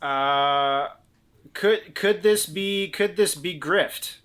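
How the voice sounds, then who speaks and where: A man playing a tabletop game casually, improvising in a halting, self-correcting way. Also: a little high, a bit bright.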